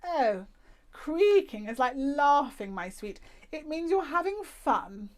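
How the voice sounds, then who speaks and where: A woman's voice: sits high, big pitch swings, takes it slowly, pitched above the performer's own voice, exaggerated voice. A woman voicing a grand, eccentric old woman who drawls and calls everyone darling. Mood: cheerful.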